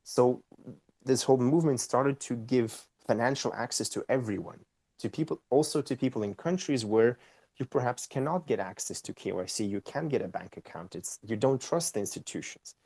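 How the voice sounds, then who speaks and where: A man's voice: medium pitch, moderate pace, darkish tone, dry recording. A man answering interview questions, explaining patiently and often checking with "right?". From Austria. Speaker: company co-founder and CEO, guest.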